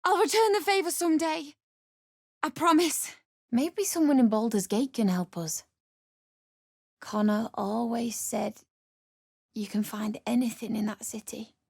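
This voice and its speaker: Distraught young widow, British accent, video game drama, slow emotional delivery, desperate, bitter, close dry sound. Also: female voice, a little high, gravelly, darkish tone, slightly rough, no room sound, full character voice. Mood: subdued, resolute. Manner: emotional, tearful, pleading, prone to snapping. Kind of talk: video game character acting.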